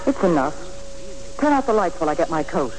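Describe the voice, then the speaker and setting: A woman's voice: low-pitched, big pitch swings, fairly quick, darkish tone, rough recording. An actress playing a wry, loyal young woman in a 1940s radio drama, with a dry and cool-headed delivery. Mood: resolute. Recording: old 1940s radio recording with music.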